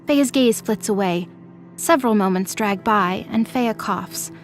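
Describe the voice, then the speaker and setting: A woman's voice: medium pitch, measured pace, soft background music. A woman narrator reading scripted, dramatic fiction for an audio drama.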